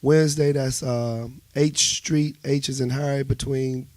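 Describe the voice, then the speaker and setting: A man's voice: mid-range voice, fairly even intonation, a little slow, darkish tone, no room sound. A man with a Washington, D.C. accent talking casually as an interview guest, telling things in colorful slang and everyday phrasing. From United States.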